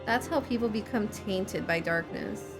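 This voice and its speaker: A woman voicing a brooding, disillusioned young man from a game, in grave, measured, formal phrasing. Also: medium pitch, music in the background, natural voice. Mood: grave, bitter. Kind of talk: reading game cutscene dialogue aloud.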